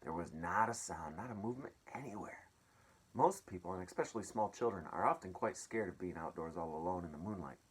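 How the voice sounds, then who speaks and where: A man reading a children's story aloud as an expressive storyteller. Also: deep voice, a little fast, husky.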